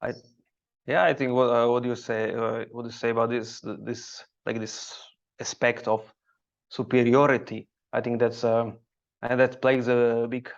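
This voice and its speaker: A man from Slovakia with a Slovak accent, speaking English as a second language, chatting haltingly as a guest comedian on a podcast. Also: medium pitch, flat delivery, vocal fry. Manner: halting, searching for words. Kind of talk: casual podcast conversation. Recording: dull lo-fi audio.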